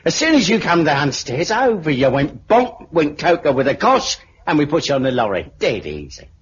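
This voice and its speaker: A man's voice: fairly high pitch, big pitch swings, normal speed. An actor playing a cheerful, chatty working-class henchman with a Cockney accent and chummy manner, in 1950s radio drama style. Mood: gleeful, chatty. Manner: chummy, casual, slangy. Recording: muffled, noisy 1950s radio recording.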